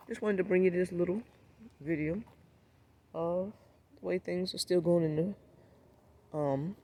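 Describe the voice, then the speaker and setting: A man with a raspy, hoarse voice from a cold, giving a laid-back, rambling walkthrough of his backyard garden and talking straight to his viewers. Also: on the high side, a little mellow. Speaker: gardening vlogger. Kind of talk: casual walkthrough vlog.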